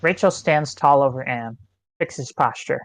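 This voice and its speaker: Man, mid-range pitch, screenplay narrator, reading stage directions, table-read delivery, dry close sound. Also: measured pace, no room sound.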